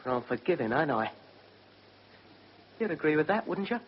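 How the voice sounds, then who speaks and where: An actor playing a cocky, menacing gangster, taunting and slangy in a radio drama. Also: male voice, fairly high pitch, very animated, moderate pace. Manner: taunting, slangy, mock-friendly, swaggering. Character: cocky, menacing British gangster, freshly acquitted. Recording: muffled, noisy old radio recording.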